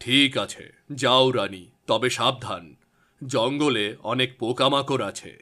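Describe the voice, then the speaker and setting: A man voicing a stern but caring fairy-tale king, measured and authoritative. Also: medium pitch, sharp tone. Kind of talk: cartoon fairy-tale voice acting.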